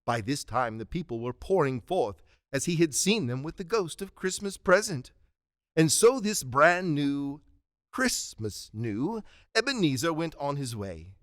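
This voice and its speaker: A man's voice: on the high side, sing-song, unhurried, fairly bright tone, no room sound, clean studio sound. A man giving dramatic scripted narration of a story in a radio play.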